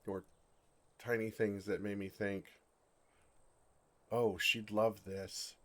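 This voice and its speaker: A man playing a bashful, lovestruck male dragon in human form for an ASMR fantasy roleplay, speaking in a halting, earnest way. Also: lowish voice, little pitch movement, a bit bright. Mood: tender, wistful. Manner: flustered, halting, earnest delivery.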